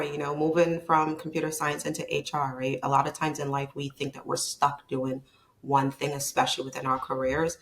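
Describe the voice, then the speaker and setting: A woman's voice: deep voice, low-quality recording. A woman from Barbados, a leadership consultant, talking as a guest in a conversational podcast interview, reflective and emphatic.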